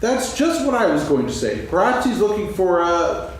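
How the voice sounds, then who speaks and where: A man's voice: sits high, very animated, moderate pace. Man playing hesitant, earnest bear, echoey and distant. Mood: earnest, muddled. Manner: hesitant, bumbling, repeats himself. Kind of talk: staged dramatic reading for children's story. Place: on stage, seated reading. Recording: echoey stage, distant mic, faint noise.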